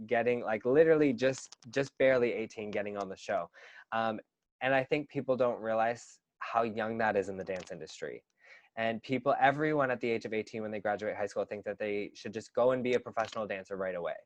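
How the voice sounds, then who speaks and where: A man's voice: on the low side, brisk, highs cut off. A young man in his twenties, a dancer and teacher, talking earnestly in a casual interview with lots of fillers and restarts. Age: 20s.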